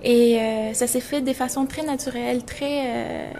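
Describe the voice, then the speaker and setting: Young woman photographer from Venezuela, 20s, higher voice, reflective, music underneath. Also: fairly even intonation, unhurried, warm tone. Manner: reflective, searching for words. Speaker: photographer and journalism student. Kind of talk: interview about her photo exhibition.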